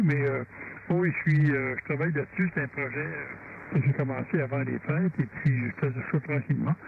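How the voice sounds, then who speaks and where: A man with a Quebec French accent and a slightly high voice talks as a radio operator. His delivery is informal and halting. The sound is very thin and narrow, with clear noise over the transmission. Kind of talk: casual radio chat, technical troubleshooting.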